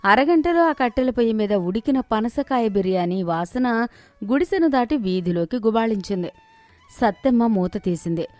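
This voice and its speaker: A woman giving scripted narration for an animated moral story in a steady storytelling manner. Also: fairly high pitch, normal speed, no room sound.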